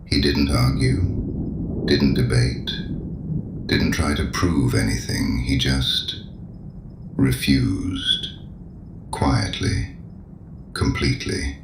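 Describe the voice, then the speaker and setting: An actor playing an ancient, damned male penitent who is courteous and quietly menacing, in an audio drama, speaking slowly and with measured calm. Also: a bass voice, reverberant, background noise, full character voice. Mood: reflective. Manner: slow, measured, quietly probing.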